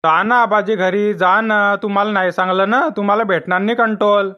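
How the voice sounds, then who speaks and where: A man's voice: high-pitched, steady tone, brisk, muffled recording, close to an everyday voice. A dismissive shop official from a comedy cartoon, voiced by a man. He is stubborn and curt. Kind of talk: comic cartoon dialogue.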